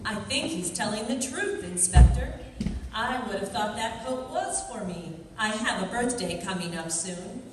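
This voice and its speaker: A woman acts out a miffed, arch girlfriend in a radio detective play, with animated swings of pitch. She is heard from afar in a strongly echoing hall. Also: unhurried, natural voice. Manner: arch, sarcastic. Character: sarcastic, miffed female sweetheart of a sleuth. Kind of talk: old-time radio detective drama acting. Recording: echoey hall, distant, faint noise.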